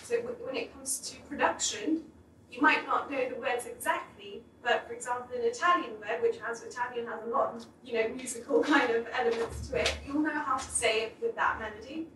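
A woman's voice: fairly high pitch, brisk, a bit bright. A woman in the audience at a public talk, asking a long, reflective question. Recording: echoey room, distant mic, faint noise.

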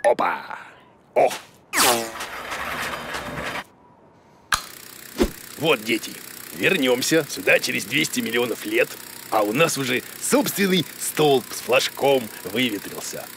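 A man voicing a silly, excitable bearded creature teacher in a children's cartoon, blustering and confidently wrong. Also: mid-range voice, a little slow, gravelly, noisy background. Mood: pleased. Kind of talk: children's educational cartoon acting. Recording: music behind the voice.

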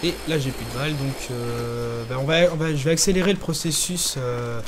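A young man hosting a gaming channel, talking through a zombie game in casual commentary, hesitant with lots of pauses and fillers. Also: mid-range voice, slight background noise. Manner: hesitant, many pauses and fillers, talks while playing.

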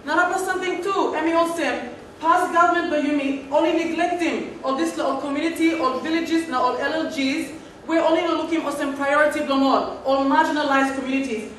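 This is a high-voiced woman with a Papua New Guinean accent. She answers formally as a government speaker in a parliament, partly improvising. Her voice rings around a very echoey room, with faint background noise. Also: fairly even intonation, a little fast, a bit bright. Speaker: government respondent in a practice parliament. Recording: very echoey hall, distant mic, faint noise.

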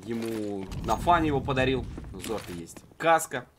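A man's voice: medium pitch, animated, moderate pace, noisy background. A man giving unscripted live commentary on an esports match in a colloquial, musing way.

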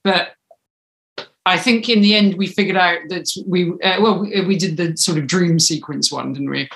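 A woman's voice: fairly low pitch, moderate pace, vocal fry, fairly bright tone, echoey room. A woman in her thirties chatting in an interview, thinking aloud with some hesitation, on a video call from a room at home. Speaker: audio drama writer, interviewee. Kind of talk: casual interview conversation. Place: small home room, indoors. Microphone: video call, mic at a distance.

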